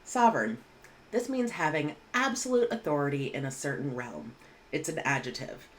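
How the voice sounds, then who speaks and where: A woman, teaching English vocabulary in a clear, measured way with casual asides, recorded at home on a webcam. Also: low-pitched, animated. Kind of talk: vocabulary lesson. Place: home room indoors.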